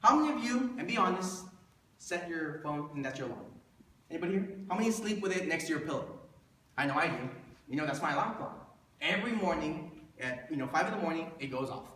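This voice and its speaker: A very echoey, distant recording of a man of thirty with a slightly high voice. He delivers a motivational talk to an audience, conversational and full of rhetorical questions, driving points home by repetition. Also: pushed and bright. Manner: conversational, rhetorical questions to listeners, repeats for emphasis. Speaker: motivational presenter pitching a business plan. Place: indoor room before an audience. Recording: echoey room, distant mic, faint noise.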